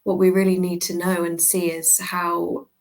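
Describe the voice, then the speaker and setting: A woman with a blocked-up head cold, speaking on a video call. Her voice is low, flat and gravelly, and she fills her sentences with frequent pauses and fillers. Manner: many fillers. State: congested with a cold. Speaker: organization representative.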